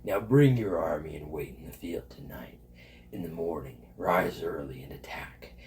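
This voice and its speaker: A man playing a stern, scornful scheming city officer in an audio Bible drama, with a gravelly voice and a sardonic, menacing manner. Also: normal speed, darkish tone, a little hiss or hum. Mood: conspiratorial. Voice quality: gravelly, low.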